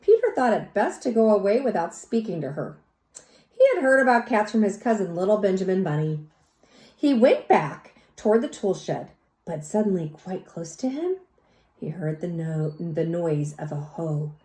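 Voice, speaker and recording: A woman reads a children's story aloud in an expressive, teacherly way, speaking as if to young listeners. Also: medium pitch. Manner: teacherly, pauses to question and comment. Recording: roomy, echoey sound.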